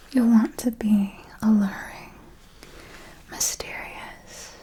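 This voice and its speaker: A woman playing a refined, predatory vampire mentor in an ASMR roleplay, whispering in a slow, measured, intimate way. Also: fairly high pitch, dark tone, low-quality recording. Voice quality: breathy whisper. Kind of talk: ASMR roleplay, scripted monologue.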